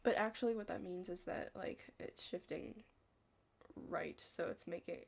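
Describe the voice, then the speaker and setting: A young woman chatting casually in an ASMR study roleplay, rambling and hesitant, hushed and slipping into whispers. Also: lowish voice, a little slow, gravelly, husky. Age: young adult. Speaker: ASMR creator playing a study partner.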